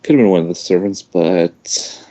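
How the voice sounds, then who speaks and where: A man reading a first-person story aloud informally, halting now and then with loose asides. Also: low-pitched, fairly even intonation, mellow. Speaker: amateur fan fiction reader. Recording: dull lo-fi home recording.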